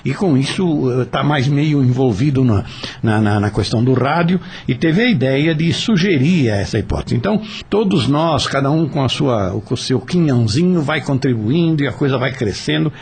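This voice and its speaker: A Brazilian man moderates a casual radio discussion. His voice is husky and sits at a middling pitch. He talks haltingly, circling back over words while he looks for the right phrase. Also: lively intonation, darkish tone.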